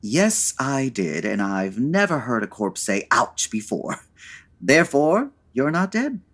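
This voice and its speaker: A man playing a suave, wry gentleman sleuth in an old-time radio comedy, urbane and dryly sarcastic. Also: mid-range voice, very animated, takes it slowly, exaggerated voice. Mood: confident, teasing.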